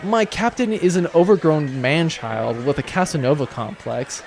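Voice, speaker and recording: A man's voice: a little high, expressive, moderate pace, vocal fry, a little hiss or hum. A young man playing a sardonic, exasperated accountant on a pirate spaceship in a comedy audio drama, grumbling dryly and wordily. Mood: weary, exasperated. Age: young adult. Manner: dry, grumbling, wordy.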